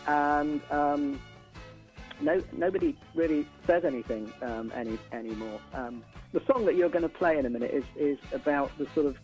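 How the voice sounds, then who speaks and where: An older man speaks in a casual radio interview, with a voice on the high side. He gives firm opinions, often repeating words as he works up to a point. There is faint music in the recording. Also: normal speed, a little mellow, low-quality recording. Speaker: interview guest, band musician.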